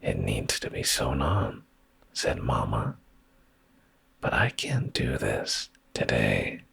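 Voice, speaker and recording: Man, bottomless low pitch, thick gravelly creak, hushed breathy tone, intimate ASMR bedtime storyteller, soothing. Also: wildly swooping pitch, slow. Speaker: ASMR streamer reading bedtime stories.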